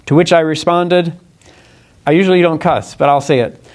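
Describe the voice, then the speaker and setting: A man giving a prepared press conference statement, speaking in an earnest, deliberate way with halts and self-corrections. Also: on the high side, steady tone. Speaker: congressional candidate. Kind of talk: press conference statement, partly read aloud.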